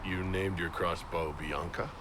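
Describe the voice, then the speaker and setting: Reluctant game hero: low, earnest, plain-spoken, under loud ambience. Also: male voice, steady tone, measured pace, background noise. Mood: curious. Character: guarded male prisoner turned reluctant hero. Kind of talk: video game cutscene acting.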